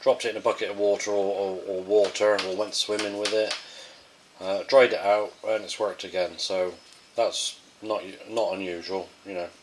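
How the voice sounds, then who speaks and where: A man's voice: on the low side, flat delivery, moderate pace, a little hiss or hum, rough recording. A man presenting a casual gadget field test in a hesitant, off-the-cuff way.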